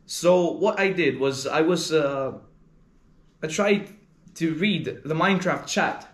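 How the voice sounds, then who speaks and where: A man's voice: fairly high pitch, takes it slowly. A teenage boy of about fifteen with a Greek accent, answering interview questions earnestly and searching for words with frequent "like" and "uh". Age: teens, about 15. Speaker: interviewee, gamer. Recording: roomy echo.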